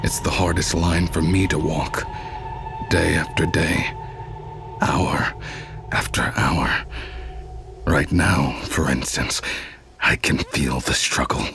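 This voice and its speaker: An actor playing a weary, brooding warlock outcast, narrating grimly and deliberately in a motion comic. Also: male voice, a bass voice, steady tone, vocal fry, exaggerated voice. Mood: weary, bitter. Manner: grim, deliberate, dryly understated. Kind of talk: first-person scripted narration in a motion comic. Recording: loud dramatic music bed. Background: dramatic music.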